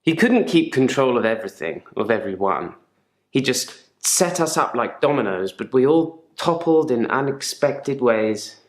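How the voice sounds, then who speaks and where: Casting: male actor as controlled, unsettling therapy patient for a live-action game. Deliberate, polite delivery with quiet menace, mid-pitched, echoey room. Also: sing-song, lightly acted. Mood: controlled, probing.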